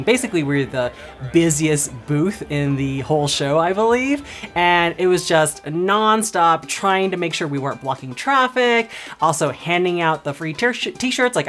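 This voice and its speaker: A woman in her thirties narrating a casual vlog in a chatty, upbeat way, laughing often. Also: fairly low pitch. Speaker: vlogger.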